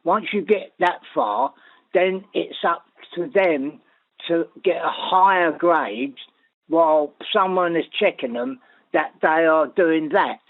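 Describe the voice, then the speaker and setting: A man's voice: a little high, takes it slowly. Hesitant man caller on a phone line. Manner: hesitant, earnest.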